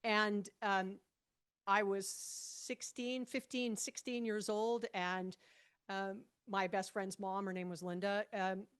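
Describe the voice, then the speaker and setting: A woman in her fifties from Hawaii, a podcast guest telling stories about her past in a relaxed, animated way, with frequent restarts and light laughter. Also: mid-range voice, takes it slowly, clean tone. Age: 50s. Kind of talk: conversational podcast interview.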